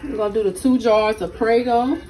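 A woman with a hoarse, partly lost voice, chatting casually to her viewers as she cooks in her home kitchen, recording on a handheld phone. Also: on the high side, a little fast, mellow, a little hiss or hum, low-quality recording. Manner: chatty, keeps addressing viewers directly. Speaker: cooking channel host. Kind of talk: casual cooking vlog narration. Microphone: phone held in hand while cooking.

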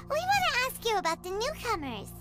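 A woman voicing a small, eager female fairy companion in a game, with a squeaky, curious voice. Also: huge pitch swings, a little fast, full character voice. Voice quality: squeaky, high. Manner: curious, questioning. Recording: loud game music behind.

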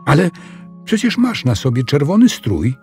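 A man voicing a curious, excited little girl in a children's storybook, eager and questioning. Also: fairly high pitch, normal speed, creaky, faint music behind, voice pushed up. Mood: astonished.

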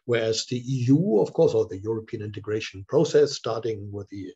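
An older man from Germany talks through a laptop webcam in a small office. His voice is low, dark and husky, and he gives an informal academic talk with frequent hesitations. The call audio sounds dull and muffled. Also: expressive. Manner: halting, many filler sounds. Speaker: visiting scholar. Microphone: laptop webcam, video call.

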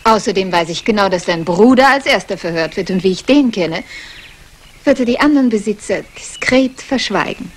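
A woman's voice: medium pitch, expressive, moderate pace, lightly acted. An actress playing a sardonic, scheming woman in a 1960s German radio crime play, teasing and mocking. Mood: dismissive. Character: mocking, scheming female companion of a fugitive man. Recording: noisy old radio play recording.

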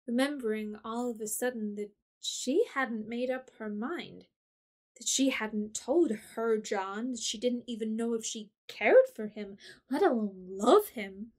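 A woman narrating a classic novel in an audio drama, gentle and measured. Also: fairly high pitch, animated. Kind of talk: scripted storybook narration.

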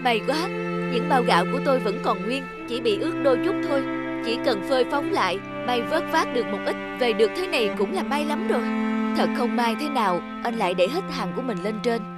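A woman playing an easygoing, philosophical trader man who reasons calmly in a cartoon fable. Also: on the high side, fairly quick, loud music behind the voice, natural voice. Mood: relieved.

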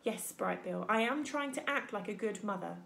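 A woman playing an earnest, gentle female robot in a children's storybook, speaking formally and carefully. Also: mid-range voice, animated, normal speed, gravelly, roomy sound. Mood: matter-of-fact. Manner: formal, careful, literal wording.

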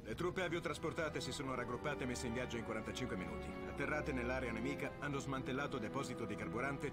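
A man's voice: mid-range voice, natural voice. A man playing a proud, solemn army colonel reading a formal, clipped report in a war game dub. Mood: formal, confident. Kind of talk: scripted military report narration in game dub. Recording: loud soundtrack music behind voice.

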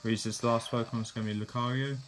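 A man giving a casual, relaxed play-by-play of his own game battle, correcting himself as he goes. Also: mid-range voice, fast talker, soft background music. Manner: relaxed, plain narration with fillers and self-corrections. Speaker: gameplay commentator.

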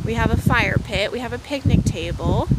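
A young woman chatting excitedly to her phone camera at a lakeside campsite, full of "like" and "I mean". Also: a little high, normal speed. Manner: gushing, chatty, lots of "like" and "I mean". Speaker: vlogger. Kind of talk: casual travel vlog narration. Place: outdoors at a windy lakeside campsite. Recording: loud wind noise on a phone mic. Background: strong wind and rustling leaves.

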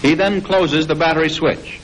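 A man with a fairly high-pitched American voice narrates a 1940s military training film. His delivery is formal and measured, like a scripted instruction. The old soundtrack is somewhat noisy. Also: fairly even intonation.

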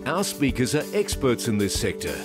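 A man reading a scripted promotional voice-over in a smooth announcer style. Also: medium pitch, very animated, moderate pace. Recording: voice-over over music. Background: music.

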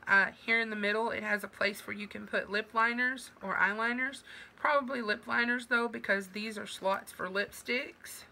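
A middle-aged woman with a drawl talks to a camera a few feet away in her living room. Her bright voice is worn down by a sinus infection, and she gushes with thanks and fills pauses with "um." Faint household noise sits in the background. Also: fairly high pitch, fairly even intonation. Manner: gushing, warm thanks with fillers. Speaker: vlogger. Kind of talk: casual mail-opening vlog.

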